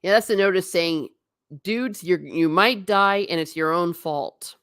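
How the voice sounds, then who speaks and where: A woman's voice: on the low side, measured pace, a bit bright, clean tone, no room sound, clean studio sound. A middle-aged woman chatting on a stream about travel photos, rambling and self-deprecating. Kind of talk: casual stream commentary.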